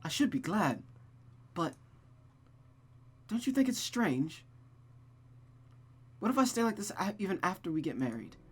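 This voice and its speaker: A woman's voice: medium pitch, sing-song, unhurried, long pauses, breathy edge, voice pushed up. A woman voicing an anxious young woman in a visual novel, earnest and hesitant as she confides. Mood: insecure, distressed. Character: Catherine, anxious young woman confiding about her fiancé.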